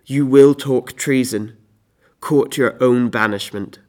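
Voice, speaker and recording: A man performs an exasperated husband from a classical tragedy. He scolds with loud, scornful force, lifting his voice above everyday talk in a theatrical monologue. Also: medium pitch, takes it slowly, natural voice. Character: exasperated, scornful husband Jason berating Medea.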